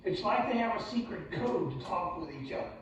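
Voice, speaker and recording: A man's voice: sits high, animated, a little fast. A man hosting a children's church show as a submarine captain, speaking directly to the children in an instructive, prompting way. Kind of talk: interactive children's teaching with call and response. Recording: echoey hall from far off, muffled, noisy.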